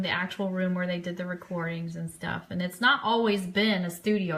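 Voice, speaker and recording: A woman's voice: on the low side, fairly bright tone. A woman giving casual live commentary on trip photos as host of an online fan show, chatty and enthusiastic.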